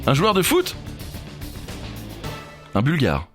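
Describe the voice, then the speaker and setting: A man's voice: a little high, wildly swooping pitch, slow pace, a bit bright, soft background music. A man hosting a quiz podcast in a casual, playful way.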